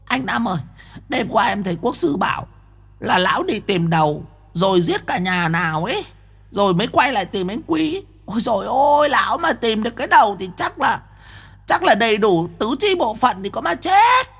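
A woman voicing a chatty, easily frightened young female spirit medium in a breathy voice. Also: a little high, very animated, moderate pace, full character voice. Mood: fearful. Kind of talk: audiobook character voice.

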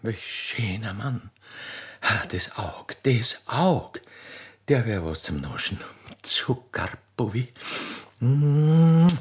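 A man puts on a plump Austrian widow for a radio play, greedy and flirtatious. She sighs and gushes in a husky, lively voice. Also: mid-range voice, drawn-out words, full character voice. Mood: smitten. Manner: sighing, gushing.

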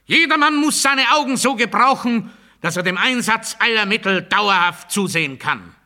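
An actor playing a pompous authoritarian demagogue in a satirical radio play, giving a declamatory, bureaucratic and commanding speech. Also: male voice, sits high, animated, moderate pace, sharp tone, exaggerated voice. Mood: loud, fervent. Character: pompous authoritarian male demagogue drilling the masses.

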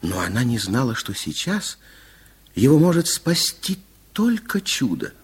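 A man's voice: medium pitch, big pitch swings, unhurried, breathy edge, heavily acted. A man narrating a children's fairy tale as a storyteller in a radio play. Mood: storytelling.

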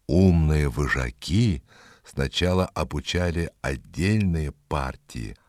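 A man narrating a fairy tale expressively, in a measured way with dramatic pauses. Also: deep voice, a little mellow, no echo, studio quality. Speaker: storyteller. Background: music.

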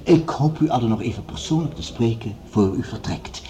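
Actor as commanding, mellow-voiced male project leader. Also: mid-range voice, animated, a little hiss or hum, close to an everyday voice. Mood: formal, rallying. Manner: formal, orotund, measured authority. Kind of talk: radio drama acting, formal speech-making.

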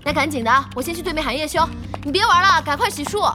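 A lively, high-voiced bossy young boss lady. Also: over music. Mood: bossy, exasperated. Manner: brisk, scolding, exclamatory. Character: bossy, good-hearted young woman internet cafe owner. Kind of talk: audio drama acting.

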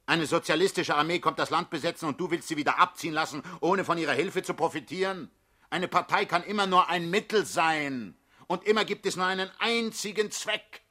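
Forceful, bright-voiced pragmatic party boss. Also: male voice, on the high side, a little fast. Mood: impatient, forceful. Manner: forceful, lecturing, condescending. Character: hard-headed, pragmatic older male revolutionary party leader. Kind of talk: theatrical radio drama acting.

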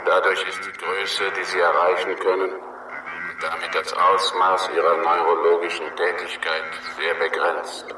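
A man in a 1960s radio play voicing a giant intelligent alien cloud, speaking slowly and formally in an aloof, scholarly way. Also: low voice, little pitch movement. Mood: detached, measured. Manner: slow, evenly stressed, formal. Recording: echoing, distant, very noisy old radio recording.

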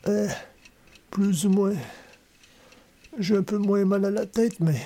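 A man's voice: sits high, fairly even intonation, warm tone, a little hiss or hum. A man playing a hungover, mild-mannered columnist in an old-time radio comedy, groggy and groaning. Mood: queasy, groggy. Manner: slow, groaning.

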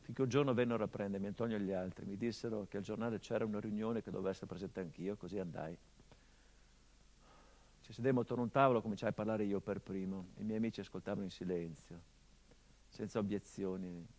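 A middle-aged actor playing a grieving son whose father was murdered, speaking a theatrical monologue in a measured, grave, introspective way. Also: fairly low pitch, steady tone, a little mellow, close to an everyday voice.

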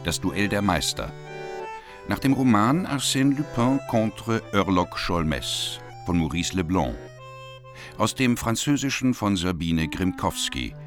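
A man reads out the credits of a radio play in a slow, formal voice. His voice is deep and quite melodic, and music plays clearly behind him. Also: lively intonation. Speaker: announcer. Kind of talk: reading closing credits.

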